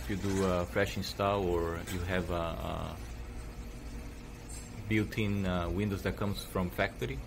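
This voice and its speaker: A man's voice: sits low, fairly even intonation, measured pace, creaky, background noise. A man hosting a tech channel, walking viewers through a computer reset in a plain, hesitant way with fillers. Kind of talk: casual how-to tutorial.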